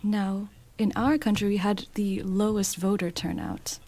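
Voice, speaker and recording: A woman interpreting a meeting speech simultaneously, relaying it at a measured, steady pace. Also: medium pitch.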